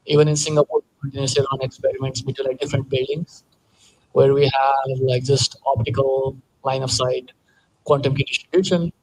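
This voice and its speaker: A man's voice: mid-range voice, darkish tone, rough recording. A young man from Nepal, an engineer speaking as a panel guest, explaining casually with many fillers. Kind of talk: panel Q&A answers.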